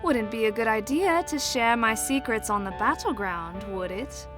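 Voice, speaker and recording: Actress as composed female mentor, higher-pitched voice, knowing and probing, teasing, audio drama dialogue, prominent music underneath. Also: animated, normal speed, natural voice. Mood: sly, teasing. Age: adult. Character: shrewd, calm, teasing woman mentoring troubled teenagers. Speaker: voice actor in a horror audio drama.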